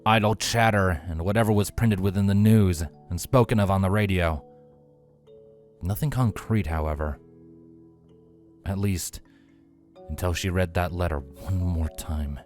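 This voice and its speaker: A man reading a fantasy story as narrator in a scripted, dramatic style with deliberate pauses. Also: fairly low pitch, darkish tone. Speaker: fan fiction narrator. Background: faint background music.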